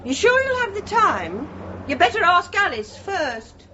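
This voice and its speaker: An actress playing a chatty, excitable, slightly needy working-class wife in a British radio play. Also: female voice, extremely high pitch, measured pace, full character voice. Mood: anxious, wheedling. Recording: muffled old radio recording, faint noise.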